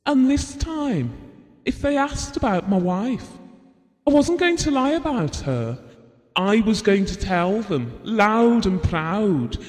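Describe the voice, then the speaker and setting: An actor playing a devoted, gentle husband and father, telling his memories earnestly and tenderly in an audio drama. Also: sits high, big pitch swings, takes it slowly, full character voice. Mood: proud. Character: Bill, devoted, gentle husband and father recalling a family tragedy. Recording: reverberant hall-like sound.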